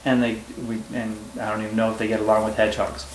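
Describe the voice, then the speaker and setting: A man's voice: lowish voice, monotone, quick pace. Brief: male home teacher, patient explainer, echoey room with faint hum. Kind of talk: casual classroom discussion.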